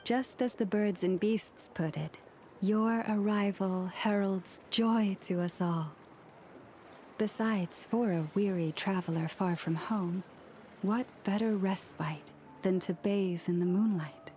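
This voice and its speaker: A woman playing a dignified, gracious young priestess leader in a video game, formal and gentle in delivery. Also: mid-range voice, slow, a little hiss or hum, close to an everyday voice. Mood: serene, gracious. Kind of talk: video game character acting.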